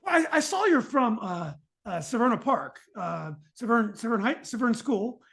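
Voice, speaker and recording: A man's voice: very high voice, very animated, moderate pace, vocal fry, fairly bright tone. A man hosting a podcast interview, asking long, rambling questions full of fillers and restarts. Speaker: podcast host.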